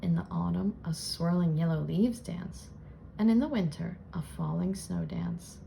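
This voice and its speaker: A woman reading a children's storybook aloud in an expressive storytelling style, as host. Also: on the low side, unhurried, creaky, a little hiss or hum. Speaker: children's book reader and channel host.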